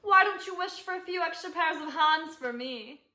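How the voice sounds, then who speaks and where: A woman's voice: very high-pitched, normal speed, bright, forceful tone. A young woman in an amateur school play acting a practical, teasing middle-aged wife and mother. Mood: wry. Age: young adult. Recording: echoey room, faint noise.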